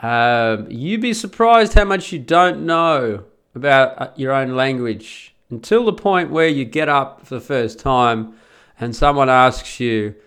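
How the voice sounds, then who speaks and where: A man's voice: medium pitch, a bit bright, clean tone. An Australian man talking in a blunt, chatty way, with lots of restarts and the odd swear word, giving advice in a casual monologue.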